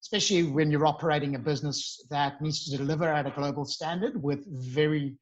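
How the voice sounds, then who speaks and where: A man's voice: fairly high pitch, fairly even intonation, quick pace, rough recording. A man in his forties, an earnest, upbeat podcast guest chatting over a video call. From South Africa. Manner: upbeat, earnest, with bursts of emphasis. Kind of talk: casual interview chat.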